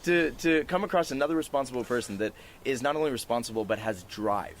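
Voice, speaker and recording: Guy talking casually on a porch podcast, normal pitch, swears a bit, some outdoor noise. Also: fast talker. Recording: background ambience outdoors on a porch.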